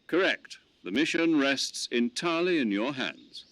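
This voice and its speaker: An officer in charge of a covert game mission. His mid-pitched voice is formal and authoritative, every order measured. The sound is dry and close. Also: lively intonation, fairly bright tone, no room sound. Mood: composed, explanatory. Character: commanding male officer briefing a covert agent by radio. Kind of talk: video game cutscene acting.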